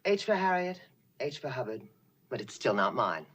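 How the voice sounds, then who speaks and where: A woman's voice: a bass voice, very animated, moderate pace, breathy edge, heavily acted. A woman playing a chatty, indignant and practical train passenger who talks emphatically. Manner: talkative, emphatic. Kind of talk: film dialogue.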